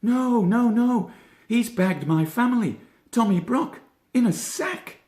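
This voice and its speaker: A frantic storybook father rabbit, squeaks far up high in a roomy space. He pleads urgently and swoops with distress, close to shouting. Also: animated, slow, exaggerated voice. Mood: frantic pleading. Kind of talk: children's storybook character voice.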